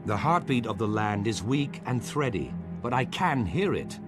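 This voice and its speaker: Man playing resolute ally, earnest, lively pitch, music behind. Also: moderate pace, natural voice. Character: solemn ally leading a cleansing ritual. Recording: game audio over music.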